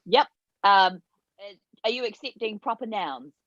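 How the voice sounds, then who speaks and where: A woman's voice: mid-range voice, expressive, measured pace, fairly bright tone, no room sound. A woman, a contestant on a video call, speaking hesitantly with little giggles. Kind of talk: casual chat. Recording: dull, lo-fi call audio.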